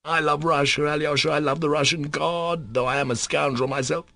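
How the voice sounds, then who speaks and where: A man's voice: a little high, steady tone, a little fast, gravelly, pushed and bright, no echo, pitched above the performer's own voice. A man voicing a tormented, fervent young Russian man. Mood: anguished. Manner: fervent, overwrought outbursts.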